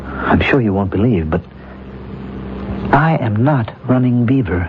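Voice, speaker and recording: An actor playing a bewildered modern man trapped in another life, halting, earnest and agitated, in radio drama style. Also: mid-range voice, expressive, natural voice. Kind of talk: old-time radio drama acting. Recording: muffled old radio recording with noise.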